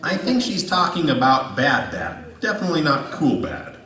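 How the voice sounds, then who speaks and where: An earnest, patient puppet co-host played by a man with a highish voice that swings dramatically up and down. He explains things instructively, as in a scripted puppet show, heard a little away from the microphone. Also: sing-song, normal speed, bright, forceful tone. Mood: dry questioning. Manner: patient, instructive, exaggerated intonation. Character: earnest male puppet co-host answering Bible questions. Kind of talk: scripted puppet comedy dialogue. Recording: roomy echo, distant from microphone.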